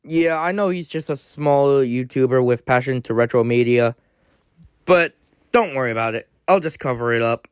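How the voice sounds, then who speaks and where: A man hosting a casual explainer commentary, speaking in halting, choppy phrases. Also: medium pitch, clear, resonant tone, dry recording, studio quality. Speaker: video host.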